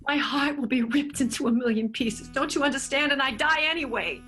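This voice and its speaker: A woman playing a devoted, lovelorn stage manager who pleads haltingly in an improvised radio melodrama. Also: high voice, fairly bright tone, faint music behind. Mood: pleading, lovelorn. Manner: halting, imploring.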